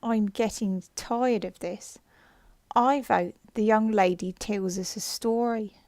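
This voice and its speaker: A woman's voice: mid-range voice, steady tone, slow pace, darkish tone, no room sound. A woman voicing a bored, tired male hare from a storybook, in a weary drawl. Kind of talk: storybook character voice.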